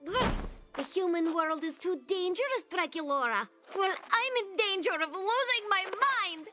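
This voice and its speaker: A woman voicing an excitable, eager teenage vampire girl in a cartoon, with gushing teen slang and a dramatic streak. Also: very high-pitched, a little slow, over music. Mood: frustrated, wistful. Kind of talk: animated cartoon acting.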